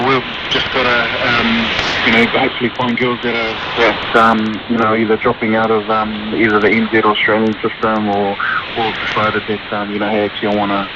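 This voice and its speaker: Kiwi guy being interviewed, flat delivery, informal with lots of pauses, buried in loud radio noise. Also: lowish voice. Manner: halting, informal, many pauses. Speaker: rugby coach, interview guest. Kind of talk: casual interview answers. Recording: noisy, dull shortwave radio reception.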